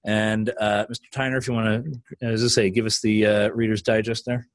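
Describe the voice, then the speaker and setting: A man hosting a casual panel discussion, telling stories in an animated way and acting out other people's remarks. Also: lowish voice, brisk, no room sound, clean studio sound. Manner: animated storyteller, acting out quotes. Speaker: podcast host leading a panel discussion. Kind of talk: casual conversational talk.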